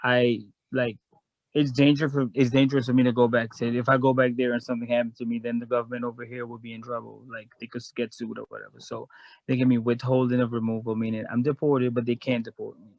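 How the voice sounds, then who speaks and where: A man's voice: medium pitch, little pitch movement, fast, vocal fry, dry recording, muffled recording. A man from Guyana, a guest in a casual chat, telling a long story in a frank, rambling way.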